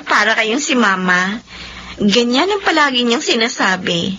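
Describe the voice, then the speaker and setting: A woman's voice: fairly high pitch, very animated, slow pace, bright, forceful tone, heavily acted. An actress playing a caring, chatty young Filipino woman in a radio drama, playfully insistent. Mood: fond nagging. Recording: dull radio broadcast, faint noise.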